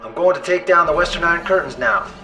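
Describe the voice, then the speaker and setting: An actor playing a loyal, earnest Allied co-commander in a game, giving businesslike battle chatter. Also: male voice, on the high side, fast, close to an everyday voice. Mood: focused. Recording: echoey, distant, very loud music behind.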